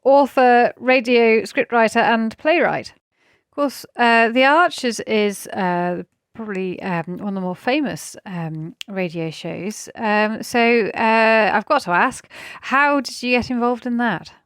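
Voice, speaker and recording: A woman's voice: on the high side, takes it slowly, clear, resonant tone, no echo, clean studio sound. A woman interviewing a guest conversationally, phrasing her questions with some hesitation. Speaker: podcast interviewer.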